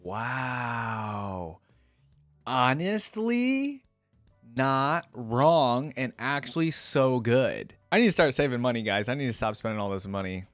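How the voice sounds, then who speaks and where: A man's voice: medium pitch, lively intonation, slow pace, no echo, studio quality, faint music behind. A man giving informal, conversational commentary as host of a reaction video. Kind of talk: casual commentary reacting to game voice lines.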